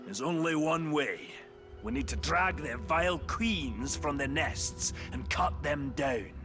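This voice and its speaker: Boisterous, animated dwarf hunter. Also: male voice, a little high, slow pace, soft background music, exaggerated voice. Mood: grim resolve. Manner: hearty, blustering, animated. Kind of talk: video game cutscene acting.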